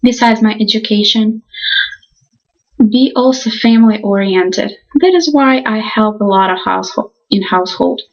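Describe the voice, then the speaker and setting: Earnest young woman, halting restarts. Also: medium pitch, lo-fi sound. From Ukraine. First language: Russian. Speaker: college student. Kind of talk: reading a class presentation.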